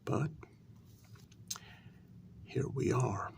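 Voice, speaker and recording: A man playing a vampire queen's devoted, aging human consort in a soft-spoken ASMR role-play, speaking slowly and deliberately in a breathy half-whisper. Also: mid-range voice, a little hiss or hum, exaggerated voice. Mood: earnest, hushed. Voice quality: breathy, creaky. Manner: slow, deliberate, half-whispered, with lingering pauses.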